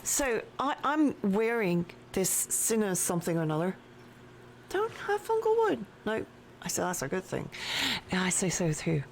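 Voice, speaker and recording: A woman streaming a game at her home desk, chatting casually and slowly with her viewers and her pet. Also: mid-range voice, sing-song, slight background noise. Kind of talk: casual live-stream chat while playing. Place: home desk with a cat nearby.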